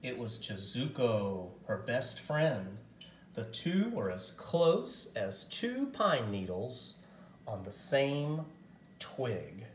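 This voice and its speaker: A man narrating a picture book aloud as a storyteller, with expressive, dramatic and deliberate phrasing. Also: mid-range voice, roomy sound, slight background noise.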